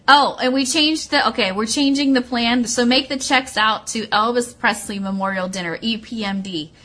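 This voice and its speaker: A woman's voice: mid-range voice, a bit bright. A woman hosting a radio show, giving casual announcements in a chatty, digressive way and correcting herself as she goes.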